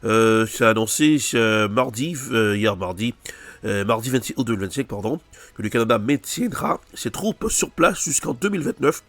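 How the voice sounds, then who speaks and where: A man's voice: lowish voice, expressive, normal speed, a bit bright, no room sound. A man presenting a news bulletin, introducing reports with some hesitations and self-corrections. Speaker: news anchor.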